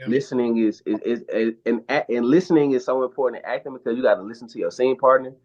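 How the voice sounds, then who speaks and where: A man's voice: medium pitch, fast talker, a little mellow. A man, a guest actor and model, talks in a casual interview. He gives earnest, emphatic advice and often restarts his sentences. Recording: dull lo-fi sound.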